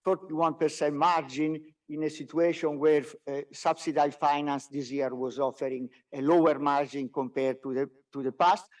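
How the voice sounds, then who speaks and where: A man's voice: a little high, fairly even intonation, moderate pace, few pauses. A man who is a non-native English speaker gives a business results presentation as a company executive, hesitating often and repeating words. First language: not English. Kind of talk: business presentation on a conference call. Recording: distant mic, conference call audio.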